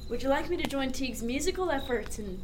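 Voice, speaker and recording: A woman's voice: sits high, lively intonation, fast talker, background noise. A woman playing a dry-witted, irreverent eighteenth-century sailor in a radio drama, with teasing, articulate delivery. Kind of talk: period radio drama acting.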